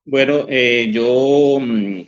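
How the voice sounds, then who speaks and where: The sound is dull and lo-fi. A middle-aged man with a Venezuelan accent answers interview questions off the cuff. He is hesitant and halting, in a mid-pitched voice. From Barquisimeto, Venezuela. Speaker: journalist and author, interview guest.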